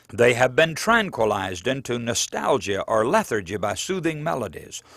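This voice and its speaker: A man reading his own book aloud as scripted audiobook narration, in an earnest, measured preaching cadence. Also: mid-range voice, dry recording.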